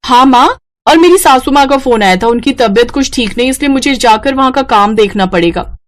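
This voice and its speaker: A woman's voice: high voice, fairly quick, voice pushed up, natural voice. A woman voicing a demanding, whiny young married sister-in-law in a moral story. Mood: evasive. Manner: whiny, pushy.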